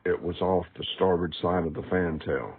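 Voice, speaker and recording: A man's voice: sits low, moderate pace, husky. A man reading aloud from his own book in a scripted audiobook narration style, recorded with a tinny, narrow sound. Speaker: author reading a book excerpt. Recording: tinny, phone-like narrow band.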